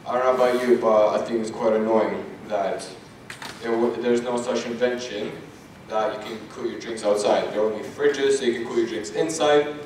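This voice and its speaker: Young man from Russia, student pitch presenter, upbeat; distant mic, very echoey room. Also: steady tone, moderate pace, darkish tone. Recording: echoey room, far from mic, some noise.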